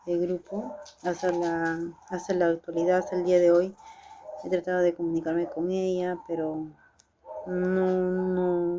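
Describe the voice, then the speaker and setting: A young woman from Peru with a Peruvian Spanish accent, a Spanish speaker and language student, reading a practice dialogue aloud haltingly. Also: fairly low pitch, background noise. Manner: halting, reads haltingly with restarts.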